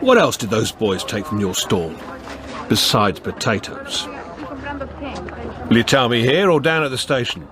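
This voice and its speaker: Older english bobby, avuncular but persistent, really varied pitch, street noise round him, radio play. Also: male voice, unhurried, pauses often, crowd noise. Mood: firm, probing. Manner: avuncular, plain-spoken, steady questioning. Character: seasoned, fatherly police constable, genial but shrewdly persistent. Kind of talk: radio drama acting. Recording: radio drama, outdoor street bustle behind.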